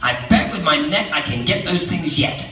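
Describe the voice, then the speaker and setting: A man's voice: fairly high pitch, animated, normal speed, bright, forceful tone, faint music behind. A man reading the lines of an earnest young boy in a plain, earnest tone. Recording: echoey theatre heard from far off.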